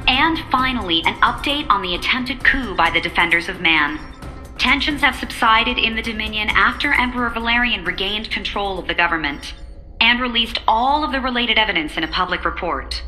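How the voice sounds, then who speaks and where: A woman's voice: a little high, animated, fairly quick, pushed and bright, soft background music, low-quality recording. A woman playing a poised, formal television news anchor delivering a polished report in a video game. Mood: brisk, official.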